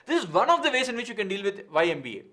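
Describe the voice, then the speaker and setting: A man's voice: high-pitched, sing-song, very fast, pushed and bright. A man with an Indian accent teaching a live online coaching session, explaining frankly with rhetorical questions and answering viewers. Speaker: exam coaching instructor.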